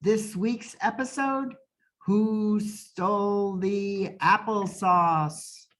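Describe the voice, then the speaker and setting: A woman's voice: medium pitch, extremely slow, soft background music. A woman hosting a radio show with scripted, old-time announcing.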